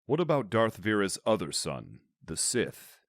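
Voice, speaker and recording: An actor playing a devoted, protective military officer in a space audio drama. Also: male voice, on the low side, very animated, unhurried, no echo, clean studio sound. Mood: wry.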